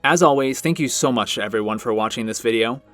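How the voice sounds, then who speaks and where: A man's voice: mid-range voice, fast talker, fairly bright tone. A man hosting a review channel, narrating a scripted video in a conversational way with wry asides. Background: background music.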